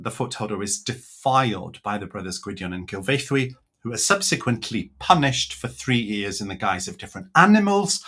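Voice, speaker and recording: Measured Welsh man giving a talk. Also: on the low side, a bit bright. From Wales. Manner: measured, slows to stress words. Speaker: author and speaker. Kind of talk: online presentation lecture.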